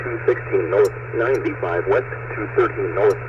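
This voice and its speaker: A man reading a formulaic marine weather bulletin in a flat, clipped, mechanical way over shortwave radio. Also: on the low side, moderate pace. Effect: shortwave radio transmission. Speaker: marine weather forecast announcer. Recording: muffled, noisy shortwave radio broadcast, distant.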